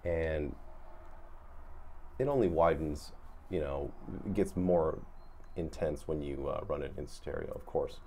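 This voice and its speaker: Low-voiced man giving a casual gear tutorial. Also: slow pace, creaky, pauses often. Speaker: guitar effects demonstrator, host.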